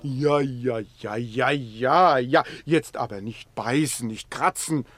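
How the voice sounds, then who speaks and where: A man's voice: mid-range voice, slow, a bit bright. An actor in a radio play playing a meek, tender-hearted man who coos silly rhyming baby talk to a lame lion. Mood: soothing, coaxing. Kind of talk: theatrical radio play acting.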